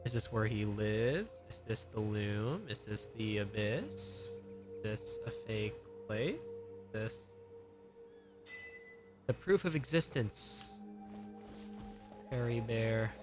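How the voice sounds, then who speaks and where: A man's voice: lowish voice, slow, pauses often. Male game streamer musing aloud over music. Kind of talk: casual live gameplay commentary.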